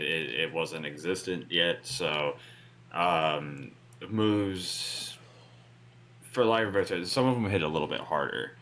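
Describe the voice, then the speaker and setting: Deep booming man talking games. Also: a bit bright. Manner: booming voice, explains at length with fillers. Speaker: podcast co-host. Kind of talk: casual gaming podcast chat. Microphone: better home microphone over a remote call.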